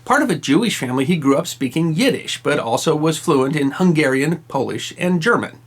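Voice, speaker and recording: A man's voice: a little high, expressive, fairly quick, husky. A man narrating a history story from a script, with wry, emphatic delivery and dry asides. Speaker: history channel host.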